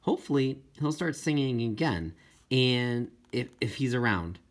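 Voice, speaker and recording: An audiobook reader voicing a friendly, encouraging female scientist reading out her letter in a measured, informal way. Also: mid-range voice, expressive.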